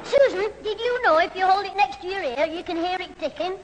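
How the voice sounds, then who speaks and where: An actor playing a cheeky, quick-witted schoolboy in a 1970s radio sitcom. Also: very high voice, a bit bright. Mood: cheeky, teasing. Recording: old hissy radio broadcast, roomy hall.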